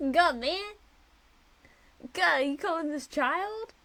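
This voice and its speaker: A woman's voice: very high voice, fairly bright tone. A woman streaming a game, reacting casually with playful, wry asides. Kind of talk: casual live gameplay reaction chat.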